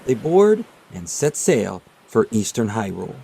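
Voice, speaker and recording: A man reading scripted, storybook-style narration in a measured, dramatic storytelling manner. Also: on the low side, sing-song, vocal fry, warm tone, slight background noise, rough recording. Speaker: narrator of a manga retelling video. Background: background music.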